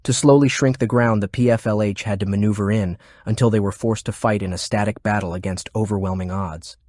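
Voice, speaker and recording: A man's voice: on the low side, fairly even intonation, fairly quick, darkish tone, no echo. A man reading scripted fiction as an audiobook narrator, close to the microphone.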